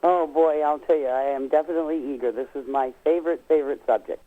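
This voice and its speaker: A woman's voice: deep voice, fast. A woman guest expert on a telephone, giving explanatory answers in an emphatic, folksy way and stretching key words. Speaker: guest expert on nutrition products. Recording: tinny narrow-band telephone line.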